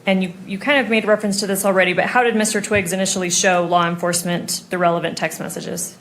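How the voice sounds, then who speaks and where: A woman's voice: lowish voice, steady tone, quick pace, fairly bright tone. An American woman questioning a witness as an attorney in a courtroom, speaking formally, methodically and precisely. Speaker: prosecuting attorney examining a witness. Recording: noisy courtroom audio.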